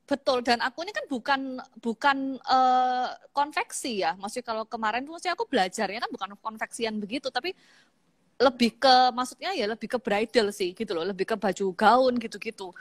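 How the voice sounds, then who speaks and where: A woman in her thirties from East Java speaks with a Javanese accent, sitting for a casual live-stream interview. Her voice sits fairly high and sounds dry and close. She talks loosely, laughing easily and stumbling through fillers as she explains herself. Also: expressive, brisk, no room sound. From Malang, East Java, Indonesia. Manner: chatty, laughs easily, many fillers and restarts. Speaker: guest, makeup artist. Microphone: phone on an online live stream.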